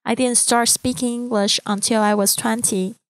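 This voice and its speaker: A woman in her thirties from Taiwan with a Mandarin accent, a podcast host reading a calm, earnest, inspirational script. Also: fairly high pitch, normal speed, no echo. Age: 30s.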